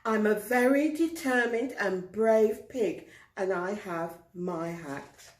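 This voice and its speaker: A woman voicing a vain, determined little boy pig in a children's story, boastful and excitable. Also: mid-range voice, takes it slowly, reverberant, distant mic, natural voice. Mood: proud.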